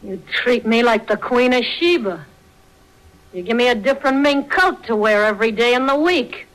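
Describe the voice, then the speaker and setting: An actress playing a brassy, scheming gangster's wife in a 1940s radio crime drama, with an American accent and slangy, sarcastic speech. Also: female voice, fairly high pitch, animated, moderate pace, fairly bright tone, poor audio. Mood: defiant, sarcastic. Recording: vintage 1940s radio broadcast.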